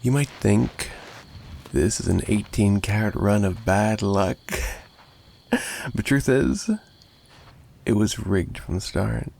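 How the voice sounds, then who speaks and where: A man playing a possessive, menacing and flirtatious mafia boss in an ASMR roleplay monologue, taunting and sarcastic with drawling pauses. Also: fairly low pitch, vocal fry, a little mellow, a little hiss or hum.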